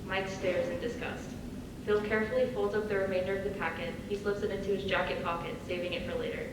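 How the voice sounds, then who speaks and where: A woman's voice: mid-range voice, fairly even intonation, quick pace. A woman reading stage directions as the narrator at a script table read. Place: large reverberant room, recorded from afar. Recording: very echoey hall, far mic, clear noise.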